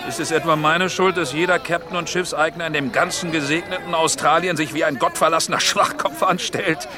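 An actor playing a brash, scheming sea trader in a radio drama, boastful, coaxing and coarse. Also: male voice, on the high side, steady tone, fairly quick, music in the background, lightly acted. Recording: busy outdoor crowd behind. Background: outdoor crowd bustle.

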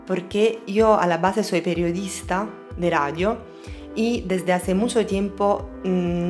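A woman speaking with an Italian accent, since Italian is her first language. Her voice sits a little low, and she answers interview questions in a thoughtful, searching way with frequent pauses. Soft music runs faintly underneath. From Naples, Italy. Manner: thoughtful, pausing to search for words. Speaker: radio artist and journalist.

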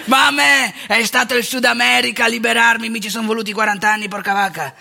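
A woman playing an outspoken, rebellious elderly male priest in a colloquial theatrical monologue, wry and impassioned, with a husky, gravelly voice. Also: on the high side, steady tone, fast, sharp tone, heavily acted. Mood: earnest, passionate. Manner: colloquial, confiding, swinging into emphatic indignation.

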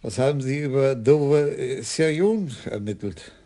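An actor playing a courteous, elderly police commissioner in a German radio crime drama, polite and measured. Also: male voice, medium pitch, animated, mellow, close to an everyday voice.

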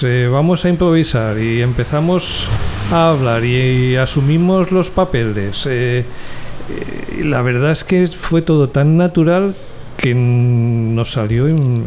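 Halting Spanish man, faint noise behind. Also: medium pitch, a little mellow. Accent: Spanish (Spain). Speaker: guest, amateur scriptwriter. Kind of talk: casual interview.

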